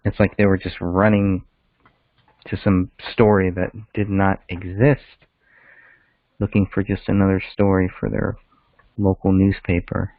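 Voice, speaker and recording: A man's voice: low-pitched, completely flat delivery, measured pace, vocal fry, pauses often, dry recording. A man co-hosting a casual discussion of a case over a call, heard through a narrow phone line.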